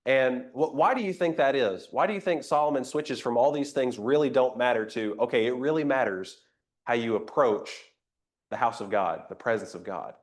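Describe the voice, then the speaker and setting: A man's voice: medium pitch, moderate pace. Slightly nasal man teaching a Bible class. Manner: conversational, invites comments, rhetorical questions. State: a little nasal from a cold.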